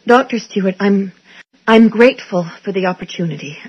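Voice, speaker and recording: Woman acting a nervous young employee, slightly husky. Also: mid-range voice, brisk, dull audio, close to an everyday voice. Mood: eager, apologetic. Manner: hesitant, apologetic, uneasy. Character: nervous, curious young female clinic employee. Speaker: new dental clinic employee. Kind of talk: 1980s radio suspense drama acting.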